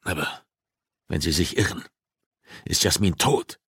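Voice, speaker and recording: A man's voice: low-pitched, animated, a little slow, a bit bright, breathy edge, no echo, full character voice. A male narrator plays a desperate father who works as a detective. He protests with agitated emotion in an audiobook scene. The recording is dry, with no room around the voice. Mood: anxious. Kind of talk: audiobook dialogue acting.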